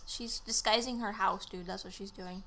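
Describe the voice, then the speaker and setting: A woman's voice: mid-range voice, steady tone, normal speed, pushed and bright, a little hiss or hum. A young woman co-hosting a gaming show with casual, teasing commentary and banter. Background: video game music.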